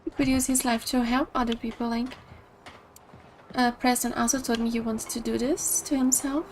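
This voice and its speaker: A woman's voice: fairly high pitch, flat delivery, moderate pace, darkish tone, a little hiss or hum. A young woman from Brazil with a Brazilian Portuguese accent, casually chatting while she streams a game. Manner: chatty, rambling, thinks aloud. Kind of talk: casual gameplay commentary.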